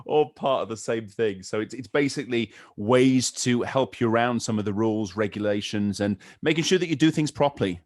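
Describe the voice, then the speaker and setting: A man from a Nordic country hosts a podcast and asks long, winding questions, often repeating words before landing on the point. His pitch sits in an ordinary middle range. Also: brisk, few pauses, a little mellow. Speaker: podcast host. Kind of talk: podcast interview.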